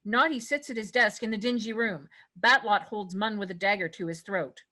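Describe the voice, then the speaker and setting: A woman narrates a script, reading out stage directions. Her voice is bright and forward, sitting at a medium pitch. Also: normal speed.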